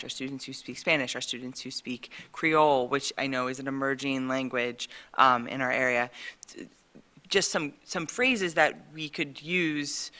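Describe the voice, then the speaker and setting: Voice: mid-range voice, pushed and bright. A teacher presenting at a school board meeting, explaining points chattily with many fillers. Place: meeting room.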